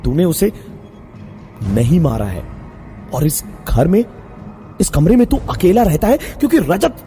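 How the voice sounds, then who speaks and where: Man acting an exasperated, insistent young man, pitched up. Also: very animated, unhurried, dark tone, soft background music. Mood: exasperated pleading. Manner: agitated, repeats words emphatically. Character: angry, exasperated young male friend, pleading and insistent.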